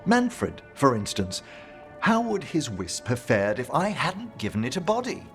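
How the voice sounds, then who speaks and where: An actor playing a gentle, earnest scholar of necromancy in a video game, speaking formally and precisely. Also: male voice, mid-range voice, huge pitch swings, a little slow, faint music behind, full character voice. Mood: fond, musing. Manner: formal, precise, eloquent speech. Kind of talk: video game character acting.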